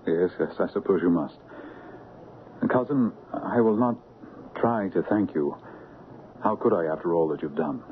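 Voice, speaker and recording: Muffled vintage radio with light hiss frames a man acting a weary, wounded king. The voice is very deep, humble and grateful, with formal, reflective phrasing. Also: normal speed, long pauses, lightly acted.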